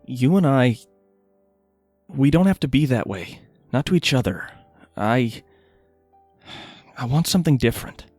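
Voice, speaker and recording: A man's voice: medium pitch, expressive, a little slow, long pauses, darkish tone, no room sound, faint music behind. An actor playing a guarded, wry male mage inventor in an audio drama, speaking hesitantly and intimately. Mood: earnest, vulnerable.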